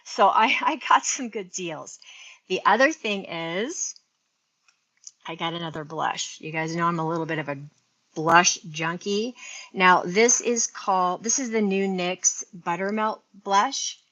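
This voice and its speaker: A woman's voice: on the low side, measured pace, a bit bright, rough recording. A sixty-year-old woman hosting a casual beauty live stream, chatting and rambling to her viewers, talking into an earphone mic linked to her phone. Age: 60s. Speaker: beauty livestream host.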